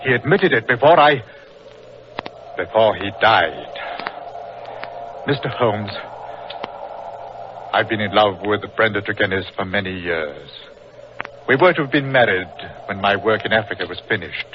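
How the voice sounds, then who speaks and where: A man's voice: fairly low pitch, animated, unhurried, long pauses. A man playing a proud, grief-stricken explorer doctor, grave and impassioned, in 1940s radio drama style. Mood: anguished, bitter. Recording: muffled, noisy old-time radio recording.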